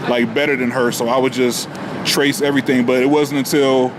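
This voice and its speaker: A man's voice: medium pitch, level tone, a little fast. American man chatting over background noise. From Atlanta, United States. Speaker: podcast guest, comic artist. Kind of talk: casual storytelling interview. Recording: clear ambient background noise.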